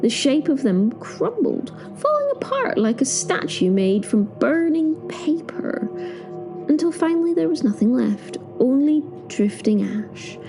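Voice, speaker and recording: A woman playing a wry, awed witness, narrating a horror audio drama in the first person with suspenseful pauses. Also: high voice, very animated, vocal fry, heavily acted. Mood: awed, tense. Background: music.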